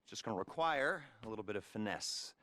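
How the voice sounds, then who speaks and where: A man playing a slyly affable, smooth-talking heist mastermind, briefing with breezy confidence in a video game cutscene. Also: on the low side, lively intonation, fast talker, pushed and bright, dry recording. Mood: confident briefing.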